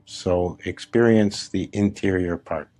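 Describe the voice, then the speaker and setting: Low-pitched man guiding a meditation. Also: steady tone, warm tone. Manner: unhurried, short phrases with long pauses. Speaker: meditation teacher, spiritual guide. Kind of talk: guided meditation.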